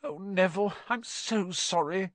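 Man voicing an earnest, higher-pitched schoolgirl witch. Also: animated, moderate pace, a bit bright, breathy edge, no echo, exaggerated voice. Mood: apologetic. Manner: earnest, urgent.

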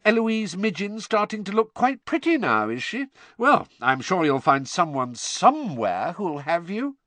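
A man's voice: very high-pitched, huge pitch swings, moderate pace, pushed and bright, no echo, voice pushed up, full character voice. A man voicing a haughty, indignant teenage schoolgirl with a lofty, snappish manner in a storybook. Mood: lofty sarcasm.

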